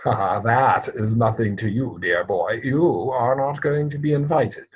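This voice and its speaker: A man acts out a proper young English gentleman from a Victorian stage comedy. His voice is mid-pitched and slightly rough. He speaks formally, with prim exasperation and an evasive, earnest air. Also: a little slow. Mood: exasperated. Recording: home recording over video call.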